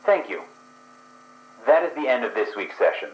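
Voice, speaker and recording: A man's voice: medium pitch, big pitch swings, moderate pace, reverberant, close to an everyday voice, rough recording. A man playing a cold, formal drug-trial researcher. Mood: clinical. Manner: clinical, coolly polite.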